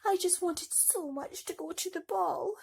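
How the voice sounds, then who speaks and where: A woman's voice: extremely high pitch, measured pace, gravelly, a little mellow, voice pushed up, exaggerated voice. A woman voicing a timid, downtrodden young girl in a storybook, hesitant and pleading.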